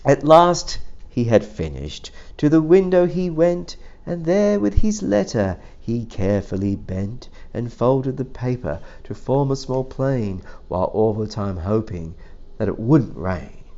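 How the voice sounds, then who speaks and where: A man reading a rhyming children's story aloud in an expressive, drawn-out way, with playful asides and sound effects. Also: mid-range voice, highs cut off. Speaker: storybook reader.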